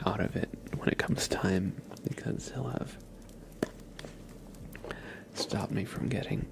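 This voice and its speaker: A man hosting an ASMR livestream, chatting casually and gently to viewers in a soft breathy whisper, close to the microphone. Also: lowish voice, fairly even intonation, slow, background noise. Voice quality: breathy whisper with heavy fry.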